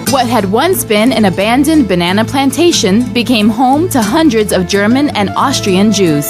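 A woman's voice: on the high side, expressive, moderate pace. A woman reading scripted narration for a news feature. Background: music.

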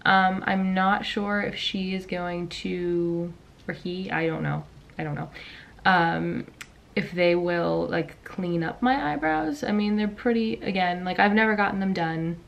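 Chatty young woman, slightly low voice. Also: measured pace, highs cut off. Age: young adult. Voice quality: raspy from just waking up. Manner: chatty, rambling, frequent ums and likes. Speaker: vlogger. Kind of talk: casual vlog chat. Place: bedroom at home. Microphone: camera in front at desk.

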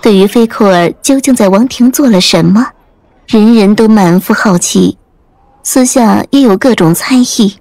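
A woman's voice: medium pitch, moderate pace, darkish tone. A woman reading scripted audiobook narration as the storyteller. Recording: music under the voice.

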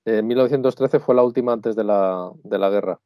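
A man's voice: lowish voice, brisk, lo-fi sound. An older man acting as a museum guide, chipping in with short, precise facts on a video call. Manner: terse, precise corrections. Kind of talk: casual expert chat.

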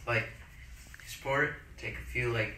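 Teen boy doing deadpan fake ads. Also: a little slow. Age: teenager. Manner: deadpan mock-commercial pitches. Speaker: friend. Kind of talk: casual chat with friends. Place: small dorm room, indoors. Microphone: friend's handheld phone. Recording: roomy echo, faint background noise.

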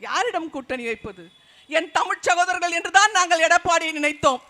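A man's voice: very high voice, brisk, sharp tone. A man giving a fiery political rally speech as a party speaker, stringing together rhetorical questions. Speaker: party speaker at a public meeting.

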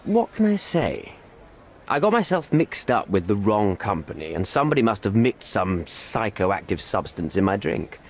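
Casting: middle-aged diplomat in a video game, cornered and evasive, highly animated intonation, placating and formal. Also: male voice, normal speed, a little hiss or hum. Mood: evasive, regretful. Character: cornered middle-aged British envoy, evasive, smooth-talking, pleading. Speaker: British envoy. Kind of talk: video game cutscene acting.

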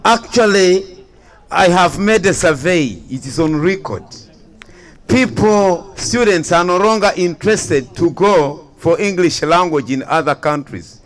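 A man's voice: high-pitched, a little slow. A young man giving an emphatic, showy persuasive debate speech into a handheld mic at an outdoor evening event. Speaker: debate participant promoting his college.

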